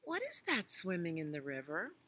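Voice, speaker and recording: A woman's voice: fairly low pitch, huge pitch swings, normal speed, pushed and bright, husky, full character voice. A woman voicing a curious, eager little elephant child who asks questions in a children's storybook.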